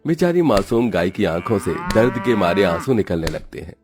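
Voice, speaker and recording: A man narrating a cartoon moral story in a steady, dramatic storytelling style. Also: on the low side, moderate pace, over music.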